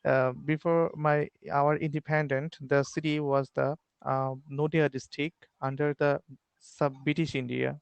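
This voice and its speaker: Bangladeshi man, English learner on a video call, gravelly yet smooth, dry sound, hesitant and full of fillers. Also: a little high, little pitch movement, no echo, low-quality recording. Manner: halting, many fillers and restarts. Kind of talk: online English lesson conversation.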